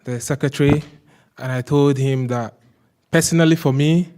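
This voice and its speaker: A man from Nigeria introducing himself at a seminar in a slow, measured way. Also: medium pitch, fairly even intonation, a little mellow.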